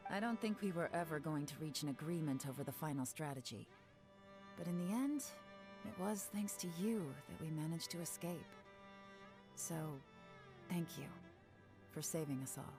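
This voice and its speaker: A woman voicing a composed female secret agent who speaks calm and businesslike. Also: low voice, normal speed, pauses often, music in the background.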